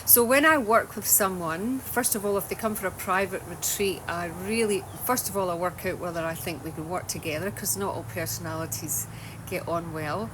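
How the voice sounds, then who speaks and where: A Scottish woman with a fairly low voice talks casually to her followers on a livestream. She is loose and chatty, often breaking off and restarting sentences, and clear noise runs under her voice throughout. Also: normal speed. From Scotland. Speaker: holistic wellness coach. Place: home in Australia.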